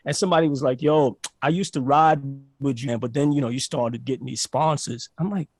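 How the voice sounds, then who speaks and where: A man's voice: medium pitch, brisk, no room sound, studio quality. A man from Barbados, a guest telling stories in a casual interview, acting out quotes and restarting often. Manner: animated, acts out quotes, many restarts.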